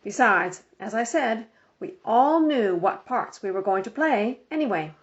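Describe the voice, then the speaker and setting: A woman's voice: on the high side, lively intonation, unhurried, muffled recording. A woman reading a children's story aloud as scripted audiobook narration. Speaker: audiobook reader.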